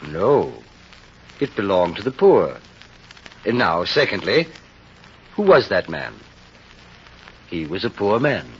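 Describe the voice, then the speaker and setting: An actor playing a saintly, patient bishop who speaks in a measured, gently reasoning way in an old-time radio drama. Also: male voice, fairly low pitch, sing-song, slightly rough, exaggerated voice. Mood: calm reasoning. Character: saintly, serene, forgiving male bishop. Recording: dull, noisy old radio recording.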